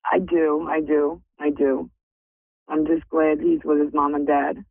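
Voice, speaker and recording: Grateful, emotional woman caller with a gravelly voice, heard over a dry phone line. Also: sits low, level tone, unhurried, no echo. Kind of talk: phone call-in.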